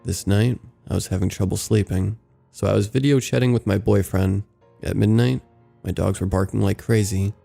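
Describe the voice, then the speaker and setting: A man narrating submitted true scary stories in a steady, low-key reading. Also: normal speed, creaky, faint music behind. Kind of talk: scripted horror story narration.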